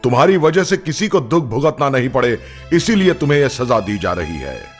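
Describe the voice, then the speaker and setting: A man playing an imperious, declamatory Mughal emperor in a children's animated story. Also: mid-range voice, moderate pace, faint music behind, close to an everyday voice. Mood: stern, regal. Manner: regal, declamatory. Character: imperious Mughal emperor, easily alarmed, commanding.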